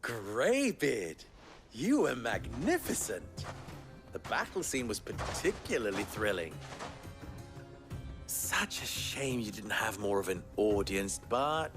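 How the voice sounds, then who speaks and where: An actor playing a wry, sardonic merchant fixer with a dry, teasing manner in a game cutscene. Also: male voice, lowish voice, big pitch swings, slow, music in the background, exaggerated voice. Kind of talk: video game cutscene acting. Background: game score.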